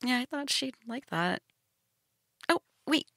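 A woman's voice: sits high, lively intonation, takes it slowly, creaky, bright, forceful tone, no echo, clean studio sound, full character voice. A young woman playing a shy, teasing goth stepsister in an intimate audio role-play, casual, hesitant and giggly. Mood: giggly, flustered.

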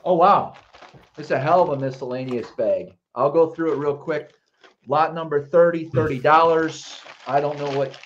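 Fairly high man's voice, crude plainspoken seller, dull lo-fi webcam sound. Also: slight background noise. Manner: blunt and profane. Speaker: co-host. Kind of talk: live auction presenting.

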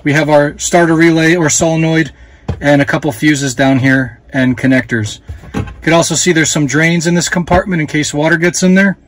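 A man's voice: on the high side, normal speed. Casual man narrating a hands-on repair. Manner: relaxed, plain-spoken, with fillers while working. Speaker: dealer host giving a how-to. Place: outdoors beside a jet ski. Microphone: phone camera held close.

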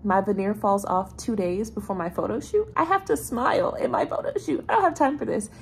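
A woman's voice: medium pitch, fairly quick, background noise. Chatty young woman vlogging at home. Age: 20s. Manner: chatty, animated storytelling with laughs. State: congested. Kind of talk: casual vlog chat to camera. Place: bright apartment room with ceiling fan. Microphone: phone camera held low, close.

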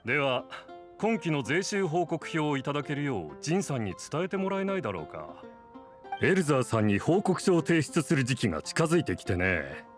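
An actor playing a gruff, dry middle-aged tavern bartender in a Japanese game. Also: male voice, fairly high pitch, big pitch swings, a little fast, faint music behind. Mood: matter-of-fact.

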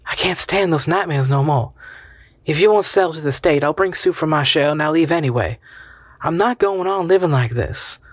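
Fraught middle-aged wife, high and hysterical, read by a male narrator. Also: lively intonation, a little fast, no echo. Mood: resolute. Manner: rising hysterical pitch. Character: desperate weary middle-aged wife, shrill.

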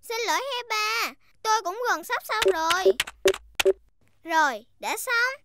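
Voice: very high-pitched, animated, a little slow, background noise, heavily acted. A performer voicing a cheerful, bossy little girl piglet who plays at being grown-up, in a children's cartoon dub. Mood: playfully self-important. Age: child character. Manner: bossy, playful, giggly. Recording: music behind the voice.

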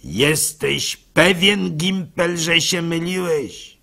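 A man's voice: fairly high pitch, slow, vocal fry, pushed and bright, pitched above the performer's own voice, exaggerated voice. A man voicing an insistent, probing village rabbi in a radio play.

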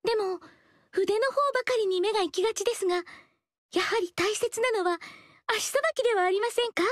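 An actress voicing a gentle, melancholy young female dancer who speaks softly and politely in a game. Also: very high voice, fairly quick, no echo. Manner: soft, polite and reserved. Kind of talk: game dialogue. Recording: dry studio voice.

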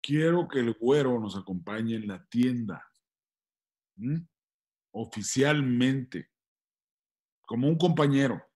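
An actor in his sixties playing a stern, serious old grandfather in a deliberate way at a video-call table read. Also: mid-range voice, expressive, a little mellow, natural voice. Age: 60s. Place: indoor room on a video call.

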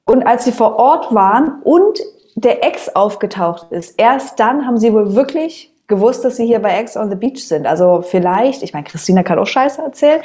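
A woman's voice: on the high side, expressive, normal speed, warm tone. A woman in her twenties co-hosting a show, chatting casually and bluntly in slangy, wry talk. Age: 20s. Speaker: co-host of an online show. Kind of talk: casual chatty discussion of reality TV. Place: small indoor room at a desk.

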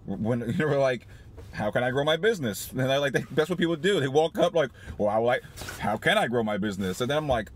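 A man in his thirties talking casually in a parked car, animated and laughing often, with many restarts. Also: medium pitch, quick pace, slight background noise. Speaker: small business owner vlogger. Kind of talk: casual motivational vlog. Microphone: phone camera on dashboard.

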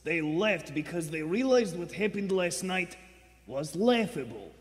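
A man playing a cold, scornful spy boss with deliberate delivery in a radio play. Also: high-pitched, animated. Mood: scornful, menacing. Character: Vladimir, cold, menacing male spy boss. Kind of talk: vintage radio play acting. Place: theater stage before a live audience. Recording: echoey theater stage.

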